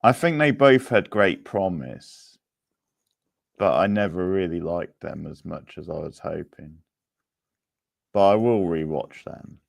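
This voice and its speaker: Slow, deep-voiced man hosting a casual quiz. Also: lively intonation, creaky, no echo. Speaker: quiz host on a livestream. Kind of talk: casual live chat with viewers.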